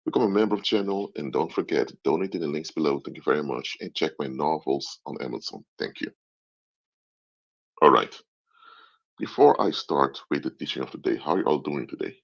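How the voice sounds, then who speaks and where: Very deep gravelly man. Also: lively intonation, brisk. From Curaçao, raised in the Netherlands. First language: Papiamento and Dutch. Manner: explanatory, repeats and restarts phrases. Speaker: live stream host, anthropologist. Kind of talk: casual live stream monologue. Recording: dull lo-fi stream audio.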